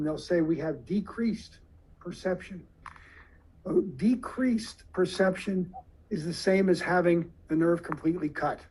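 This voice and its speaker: A man giving a lecture to surgeons, explaining slowly and deliberately with questions to the audience. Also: high voice, a little mellow, husky, poor audio.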